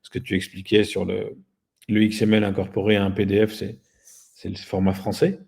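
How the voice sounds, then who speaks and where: A man's voice: on the low side, completely flat delivery, normal speed, vocal fry, a little mellow, breathy edge. A man speaking as an interview guest, explaining things casually with a wry, self-deprecating manner. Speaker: guest, company founder.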